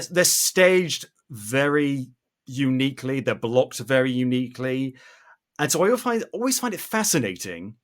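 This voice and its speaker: A man speaks as a podcast interviewer at a middling pitch. His delivery is conversational and discursive, circling around a thought as he goes. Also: fairly bright tone.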